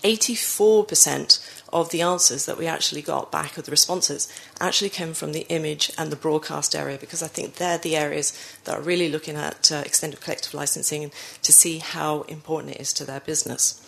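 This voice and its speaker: A woman presents to a conference audience, her voice low and gravelly and roughened by a cold. She talks through slides with frequent ums and restarts, correcting herself as she goes. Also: fairly even intonation, a little fast, few pauses, a bit bright. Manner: frequent fillers and self-corrections. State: croaky from a cold. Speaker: conference speaker.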